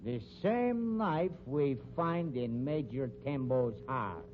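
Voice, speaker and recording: A man's voice: medium pitch, expressive, slow pace, over music. An actor playing a sly, sneering carnival knife man with stilted formal phrasing in a 1950s radio drama. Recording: dull, noisy 1950s radio recording.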